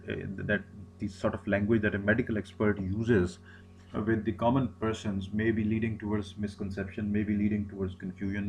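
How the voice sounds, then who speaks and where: A man's voice: fairly low pitch, a little mellow, a little hiss or hum, low-quality recording. A man, an Urdu speaker, giving a recorded lecture, often repeating himself and restarting phrases. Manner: repetitive, many restarts and fillers.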